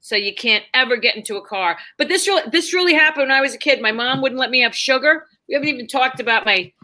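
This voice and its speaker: A woman with a New York accent, telling lively stories as a podcast guest and acting out the people in them. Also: high-pitched, fast. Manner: animated, acts out voices in her stories. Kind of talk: casual storytelling chat.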